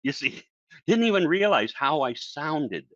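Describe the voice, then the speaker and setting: Chatty, lively man coaching on speaking. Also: a little high, unhurried, no echo, dull audio. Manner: chatty and animated, acts out quoted voices. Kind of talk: casual solo video lesson.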